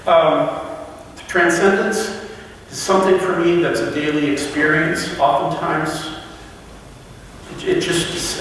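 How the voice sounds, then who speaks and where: A man giving a personal reflective talk to a fellowship, halting and searching for words with frequent restarts. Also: a little high, fairly bright tone, slight background noise. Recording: echoey hall from far off.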